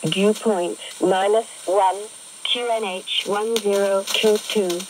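A woman's voice: medium pitch, fairly even intonation, takes it slowly. A woman reading formulaic aviation weather reports in clipped, segmented, impersonal phrases over shortwave radio. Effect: shortwave single-sideband radio. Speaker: aviation weather broadcast announcer. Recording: noisy shortwave radio reception. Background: radio static and noise.

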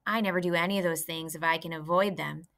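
A sly, greedy rat in a storybook, sour and sneering, with loud, scornful emphasis. A woman voices him with a bright edge. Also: on the low side, fairly even intonation, fast, creaky.

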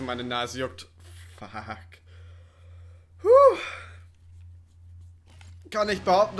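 A man's voice: on the high side, fairly bright tone, a little hiss or hum. A man giving casual, rambling, sweary let's play commentary over racing game sounds. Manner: rambling, sweary, joking to himself. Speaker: gaming video commentator.

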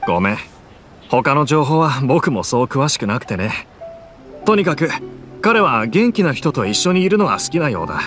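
A man's voice: medium pitch, very animated, moderate pace, fairly bright tone, soft background music. An actor playing a friendly, observant young townsman in a video game, speaking in an easygoing, thoughtful way.

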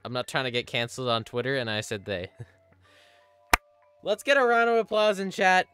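Excitable young male game streamer. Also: medium pitch, normal speed, over music. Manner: excitable, joking with chat, sudden yelps and laughs. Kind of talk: live gameplay commentary.

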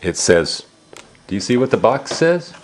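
Animated man reading slowly to a child. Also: mid-range voice, slight background noise. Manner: simple child-directed talk, exclaiming and explaining words. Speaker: adult reading aloud to a young child. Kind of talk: animated picture-book read-aloud with questions to a child.

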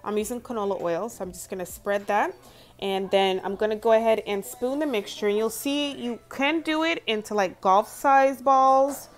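A woman's voice: fairly high pitch, moderate pace. A young American woman talking casually and informally as she narrates a home cooking demonstration. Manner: chatty, informal. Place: home kitchen, indoors.

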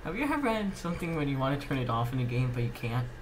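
A man with a medium-pitched voice hosts a casual gameplay commentary while slightly under the weather. He talks in halting bursts, half muttering to himself. The homemade recording has clear noise behind the voice. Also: very animated, rough recording. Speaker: gaming channel host.

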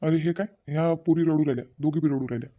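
A woman voicing a gentle, concerned village father in a family drama, with a Varhadi Marathi accent and a fussing, coaxing way of repeating himself. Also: sits low, fast talker. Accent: Varhadi Marathi from Vidarbha. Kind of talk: amateur family drama acting.

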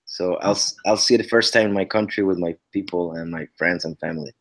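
A man's voice: low voice, fast, vocal fry. Mexican-accented man on a video call. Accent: Mexican Spanish. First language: Spanish. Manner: earnest, restarts often, lively gestures. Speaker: actor guest. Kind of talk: casual interview chat over video call. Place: living room at home. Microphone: webcam on a video call.

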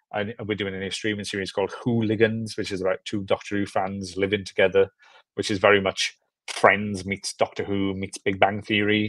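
A man's voice: on the low side, no echo. A man in his thirties chatting casually as an interview guest on a video call, often repeating words for emphasis. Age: 30s. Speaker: film director and producer, interview guest. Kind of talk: casual chat. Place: small furnished room at home, indoors. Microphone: video call with earbuds.

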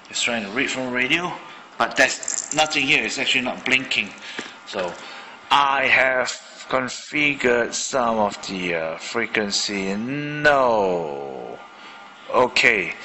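Bright-voiced man demoing a walkie-talkie. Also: mid-range voice, big-room sound, poor audio. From Malaysia. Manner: rambling, thinking aloud with many fillers. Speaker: hobbyist radio reviewer. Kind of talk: casual hands-on product demo. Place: indoor room at a desk.

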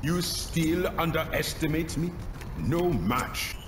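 An actor playing an arrogant, menacing warlord in a video game, commanding and scornful. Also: male voice, fairly high pitch, lively intonation, slow pace, roomy sound, noisy background. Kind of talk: video game hero voice lines.

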